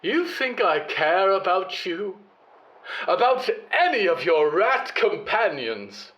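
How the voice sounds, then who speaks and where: A man's voice: high voice, sing-song, slow pace, a bit bright, muffled recording, full character voice. A man playing a ruthless corporate mouthpiece villain in an audio drama, pompous, theatrical and full of disdain. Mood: scornful, indignant.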